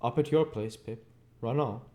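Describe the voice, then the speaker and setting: A man puts on a pompous village gentleman from a storybook, pitched well above his own low voice. The delivery is wordy, theatrical and excitable. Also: normal speed, natural voice. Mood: alarmed. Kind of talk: storybook character voice.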